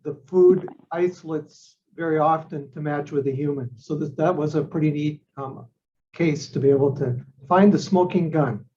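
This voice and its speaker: A man with a somewhat high voice joins a casual meeting discussion. His audio is slightly dull, as heard over a conference call. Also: fairly even intonation, moderate pace, mellow, a little hiss or hum. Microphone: conference call connection.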